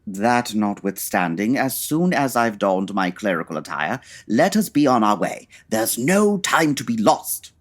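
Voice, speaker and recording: A woman playing a pompous, dryly impatient male Victorian detective with a husky voice and formal, precise diction, in a comedy audio drama. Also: extremely low pitch, big pitch swings, unhurried, hardly any pauses, exaggerated voice. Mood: instructive, dry.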